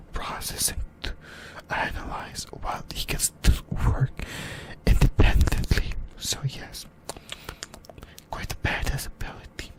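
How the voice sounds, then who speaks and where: Someone whispering in a breathy, unvoiced voice, giving an informal ASMR-style fan discussion of anime with some mild swearing. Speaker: YouTuber, host of an anime fan channel.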